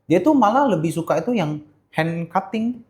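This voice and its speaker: A man's voice: sits high, lively intonation, moderate pace, darkish tone. A young man with an Indonesian accent in a casual podcast chat, speaking eagerly and repeating phrases for emphasis. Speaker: podcast guest, gemstone seller. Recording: roomy echo.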